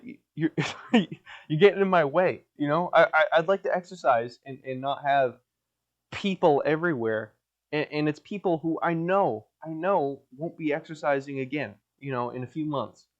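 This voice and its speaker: A young man with a nasal voice hosting a solo podcast from a hot basement, rambling in a crude, deadpan way with lots of restarts, his mouth dry and a little coughy. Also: on the high side, dull audio. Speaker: podcast host.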